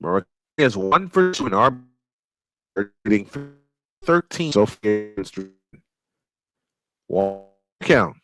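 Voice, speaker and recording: A man calling a baseball game live, unhurried, filling the pauses between pitches. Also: big pitch swings, sharp tone, dry recording, low-quality recording. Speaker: play-by-play baseball announcer. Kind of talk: live sports commentary.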